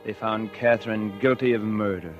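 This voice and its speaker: A man's voice: fairly low pitch, fairly even intonation, moderate pace, muffled recording, natural voice. An actor playing a guilt-ridden murderer who narrates his own downfall in a 1940s radio drama. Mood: despairing. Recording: 1949 radio recording with music.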